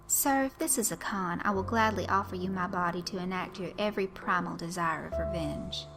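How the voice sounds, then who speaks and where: A woman voicing a short, cunning male Southern dandy with a Southern accent and a flowery, drawn-out way of stressing his words. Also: lowish voice, music in the background, close to an everyday voice. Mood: genteel, menacing. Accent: American Southern. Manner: ornate, drawn-out emphasis.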